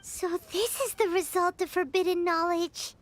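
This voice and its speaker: A woman voicing a tiny excitable companion sprite who chirps and talks about herself in the third person. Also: very high voice, moderate pace, slight background noise. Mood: excited.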